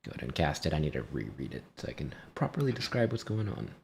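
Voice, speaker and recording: Deep voiced guy game mastering, casual narration, kinda hesitant. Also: big pitch swings, fast, vocal fry, a little mellow, a little hiss or hum.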